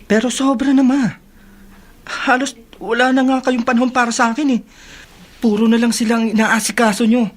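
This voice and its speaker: An actress with a Filipino accent playing a weary, resentful daughter in a radio drama, plaintive in manner. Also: female voice, fairly high pitch, little pitch movement, fairly quick, pauses often, close to an everyday voice. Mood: hurt, resentful. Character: weary, resentful grown child feeling neglected.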